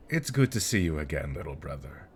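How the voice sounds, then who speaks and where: A man's voice: deep voice, a little hiss or hum, full character voice. A man playing a smug, teasing elder demon nobleman with mocking politeness and flirtatious charm, in an ASMR fantasy roleplay.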